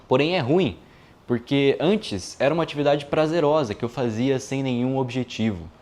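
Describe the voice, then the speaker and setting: A man in his early twenties, a video editor, talking casually to his viewers in a relaxed, chatty way with frequent filler tags. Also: mid-range voice, normal speed. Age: 20s. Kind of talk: casual vlog monologue.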